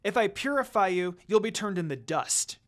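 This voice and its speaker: A storyteller voicing a bold, protective teenage girl who speaks defiantly and forcefully in a story reading. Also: mid-range voice, expressive, moderate pace, natural voice. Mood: urgent, warning. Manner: forceful, warning.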